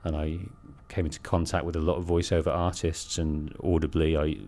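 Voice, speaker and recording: Deep-voiced British man streaming a game. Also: flat delivery, a little fast, warm tone, slight background noise. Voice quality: deep, bassy. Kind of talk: let's play commentary, storytelling. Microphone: close bass-heavy streaming microphone.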